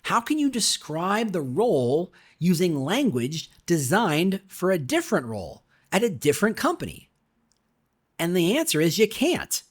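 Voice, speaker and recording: A man's voice: sits high, wildly swooping pitch, normal speed, slightly rough. A man reading his own nonfiction book in a conversational way, with rhetorical questions and emphatic asides. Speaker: author reading own audiobook. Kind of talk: conversational scripted nonfiction narration.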